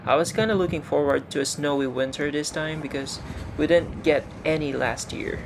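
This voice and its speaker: Filipino man vlogging, slightly creaky higher voice. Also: normal speed, noisy background. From Philippines. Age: young adult. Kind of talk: casual vlog talk to camera.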